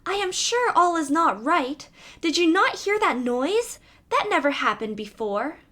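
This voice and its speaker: A woman's voice: very high-pitched, big pitch swings, a little slow, sharp tone, voice pushed up, heavily acted. A woman voicing a timid, fretful young princess in a fairy tale. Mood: worried. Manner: fretful, uneasy.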